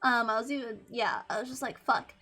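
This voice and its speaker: A young woman in her twenties talks on her livestream in a high-pitched, sharp voice. She rambles and swears freely, restarting her sentences often. Quiet music plays behind her. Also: steady tone, a little fast. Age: 20s. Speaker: VTuber streamer. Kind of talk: casual livestream chat.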